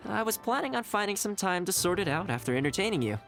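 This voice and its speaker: A woman's voice: mid-range voice, very animated, fast, soft background music. A woman voicing an easygoing, obliging young noble host in a video game, talking in a casual, considerate way. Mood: relaxed, obliging. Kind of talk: English video game voice acting, scripted dialogue.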